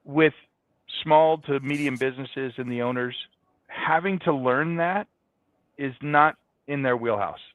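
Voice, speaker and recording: A man's voice: mid-range voice, a little slow, no room sound. Man explaining business, dry dull sound. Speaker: business guest. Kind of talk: conversational interview answers.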